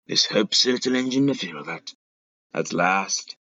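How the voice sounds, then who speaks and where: Male storybook narrator: gravelly, husky, on a muffled lo-fi recording. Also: mid-range voice, animated, measured pace, sharp tone, dry recording. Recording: dull, boxy lo-fi sound.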